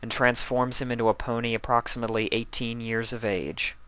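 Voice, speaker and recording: A man voicing a wise, regal pony princess explaining things in a measured way. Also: medium pitch, level tone, a bit bright, smooth, voice pushed up, natural voice.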